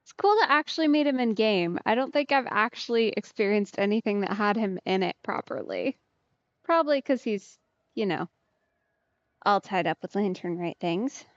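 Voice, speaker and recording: A woman's voice: medium pitch, animated, normal speed, dry recording, muffled recording. A woman giving casual, chatty let's play commentary, reacting aloud as a game streamer.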